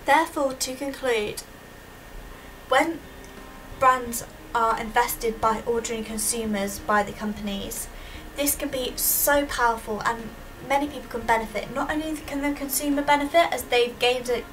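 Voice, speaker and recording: High-pitched young woman, distant mic. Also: moderate pace, a bit bright. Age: young adult. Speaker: university student presenter. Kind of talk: prepared student presentation. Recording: distant microphone with audible background noise.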